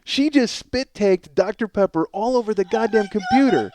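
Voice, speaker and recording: A man hosting a comedy podcast in a casual, rambling, profane and excitable ranting monologue. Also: sits high, normal speed, dry recording. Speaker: podcast host.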